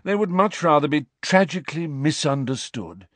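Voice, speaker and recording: Man putting on a sly old wizard portrait, high drawling sneer, storybook. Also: lively intonation, normal speed, fairly bright tone, no echo.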